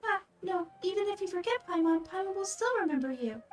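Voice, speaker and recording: Tiny game fairy companion, squeaky, very high, childlike, chirpy, earnest. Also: female voice, animated, a little fast, recorded from a distance. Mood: tearful, earnest. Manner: refers to self by name.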